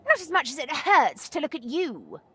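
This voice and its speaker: A chirpy little light sprite with a squeaky, swooping voice that leaps all over the place. It teases and bickers in a bright, shrill tone, like a voice from a game. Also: male voice, sing-song, moderate pace, heavily acted. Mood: teasing. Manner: teasing, bickering.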